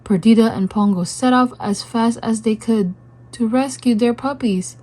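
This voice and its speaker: A woman reading a children's story aloud as scripted narration, with deliberate, expressive phrasing. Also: a little high. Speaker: storybook reader.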